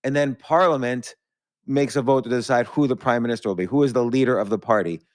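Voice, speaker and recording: A man's voice: medium pitch, fast. A man hosting a podcast, explaining civics in a casual, rambling, self-deprecating way. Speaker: podcast host.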